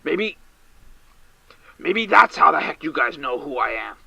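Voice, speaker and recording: A man as a frantic drunk caller, gravelly. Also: fairly high pitch, very animated, measured pace, no room sound, full character voice. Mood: shaken. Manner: frantic, panicky, shouting and stammering. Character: distraught drinking man on the edge of breakdown. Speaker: caller to a late-night radio show. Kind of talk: audio drama acting.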